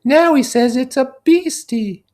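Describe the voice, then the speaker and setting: A man's voice: very high voice, a little slow, darkish tone, pitched above the performer's own voice. A man voicing a small, frightened, earnest little boy in a storybook reading. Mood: anxious, earnest. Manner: childlike, earnest. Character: small frightened little boy's mouthpiece, reporting a beastie.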